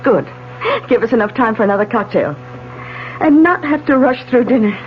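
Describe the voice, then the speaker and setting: An actress playing an excitable, nervous wife in a 1970s radio drama, with theatrical, hesitant delivery. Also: female voice, on the high side, moderate pace, a little hiss or hum, natural voice. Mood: brisk, hostessy. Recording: muffled 1970s AM radio broadcast, music behind.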